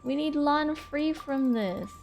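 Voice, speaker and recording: A woman's voice: high voice, slow pace, vocal fry, a little mellow, soft background music. A woman streaming a video game, chatting casually, exclaiming and laughing often as she plays. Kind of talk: casual gameplay commentary.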